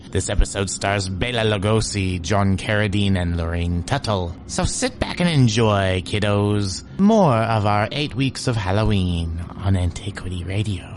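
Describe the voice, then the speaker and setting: A man's voice: on the low side, a little hiss or hum. A man reading a scripted introduction as a show host. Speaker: podcast host.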